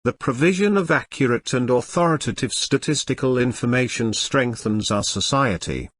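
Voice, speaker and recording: A man giving a measured, formal read-aloud of scripted text as an exam practice model. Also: mid-range voice, lively intonation, a bit bright, dry recording, clean studio sound.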